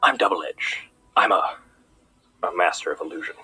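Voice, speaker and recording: A man's voice: deep voice, moderate pace, pushed and bright, husky, no room sound, soft background music, heavily acted. A man playing a bitter, vengeful unicorn guard turned outlaw in an audio drama, with dramatic, indignant delivery. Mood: wary, defiant. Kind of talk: audio drama villain acting.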